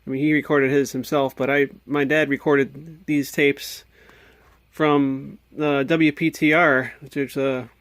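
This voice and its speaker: A man's voice: medium pitch, little pitch movement, measured pace, a bit bright, no echo. An American man giving a casual show-and-tell, thinking aloud in a hesitant, puzzled way. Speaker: hobbyist video maker. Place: indoors at a home workbench.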